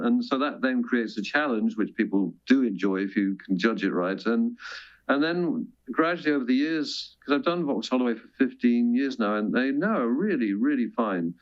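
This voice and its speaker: A man talking casually as an interview guest, a composer and choir director who often restarts and corrects himself, heard over a muffled remote link. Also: lowish voice. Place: remote video link from London. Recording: dull, muffled remote-call audio.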